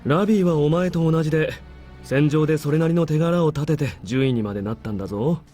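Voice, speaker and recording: A man acts a male army major in a visual novel, blunt and wryly dismissive. His delivery is very rapid and his tone soft-edged and dark, with music playing underneath. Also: a little high, natural voice. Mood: skeptical. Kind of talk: Japanese visual novel voice acting.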